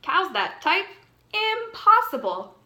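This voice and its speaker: Woman acting out an indignant farmer, emphatic and high-pitched. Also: very animated, bright, forceful tone, reverberant, full character voice. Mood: incredulous. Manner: emphatic, drawn-out exclamations. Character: indignant, furious male farmer, gruff and demanding. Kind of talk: children's storybook read-aloud.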